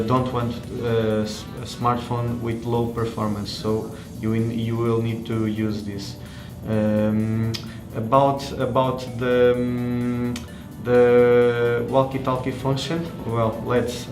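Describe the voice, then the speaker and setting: A man who is not a native English speaker gives an unscripted hands-on product demonstration as a tech reviewer, haltingly searching for words. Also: mid-range voice. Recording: echoey room with music behind.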